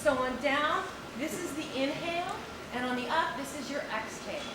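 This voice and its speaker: Lively woman teaching posture to a crowd. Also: sits high, normal speed, noisy background. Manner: lively, demonstrative, guides the audience through movements. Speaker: posture and breathing teacher giving a workplace talk. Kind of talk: presentation to a live audience. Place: meeting room with an audience.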